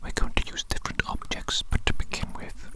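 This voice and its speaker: A woman whispering slowly and deliberately in an ASMR role-play as a doting, eccentric evil doctor, with a breathy, unvoiced voice. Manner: slow, deliberate, repetitive, soothing address to the listener.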